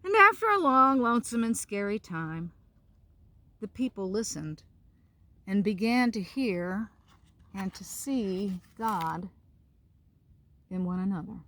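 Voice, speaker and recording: A woman pastor reading a storybook and giving an informal devotional talk for children, with gentle pauses and simple phrasing. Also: mid-range voice, very animated, no room sound.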